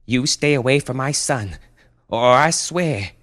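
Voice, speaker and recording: A man voicing an anxious, protective mother in a story, with a breathy, pleading urgency. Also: mid-range voice, very animated, unhurried, voice pushed up. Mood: defiant.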